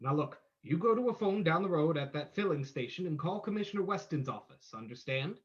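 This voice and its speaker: A man playing a confident, commanding gentleman sleuth in an old-time radio mystery. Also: fairly high pitch, lively intonation, brisk, highs cut off, natural voice.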